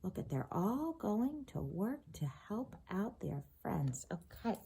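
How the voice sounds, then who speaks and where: Slow, very animated woman reading to children. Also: medium pitch, gravelly, slight background noise. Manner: expressive, addresses young listeners with questions. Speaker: storyteller, teacher reading to children. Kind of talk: animated read-aloud of a children's holiday story.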